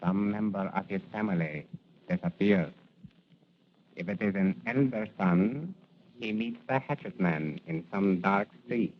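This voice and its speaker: A man acts out a courtly merchant in a lowish voice. He speaks solemnly and formally, in measured phrases, as in a 1940s radio play on a tinny, noisy recording. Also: lightly acted. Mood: grave.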